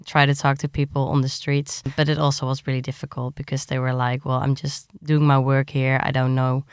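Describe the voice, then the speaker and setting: Female interviewee, clear crisp voice, explanatory and conversational, very dry sound. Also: extremely low pitch, little pitch movement, brisk, dry recording. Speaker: science journalist, interviewee.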